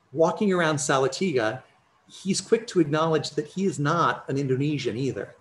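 A middle-aged American man giving a measured, explanatory academic lecture over a video call from a home office, using personal examples. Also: mid-range voice, a little hiss or hum. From United States. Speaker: conference lecturer. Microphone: video call, computer mic.

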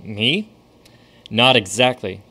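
A man's voice: on the low side, slow pace. An actor playing a famous stage magician with a secretive showman's flair in a radio drama. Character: famous stage magician, now a ghostly guide.

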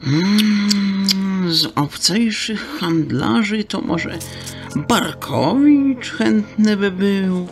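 An actor playing a sly, greedy male fence in a radio drama, wheedling with a gravelly voice and mangled, fussy speech. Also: high-pitched, drawn-out words, full character voice. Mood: evasive. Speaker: fence Dallas. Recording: radio drama with music behind.

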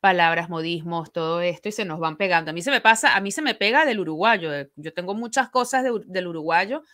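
Bright, bubbly Venezuelan woman hosting a show. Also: on the low side, fairly quick. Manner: bubbly, affectionate. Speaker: radio show host. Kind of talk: casual interview chat. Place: small living room at home, indoors. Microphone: studio microphone on a boom arm, close.

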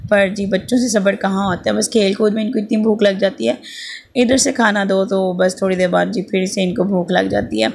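Pakistani mom in her thirties, hoarse and rambling homely voice-over, low-key and monotone. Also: brisk. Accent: Pakistani Urdu. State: sore throat, slight fever. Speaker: vlogger, mother. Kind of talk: casual voice-over narration. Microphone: voice-over at close mic.

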